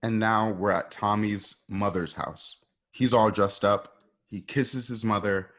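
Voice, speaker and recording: A man narrating a radio play, reading out scene directions in a conversational way with a husky voice. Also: fairly low pitch, fairly even intonation, unhurried, a bit bright.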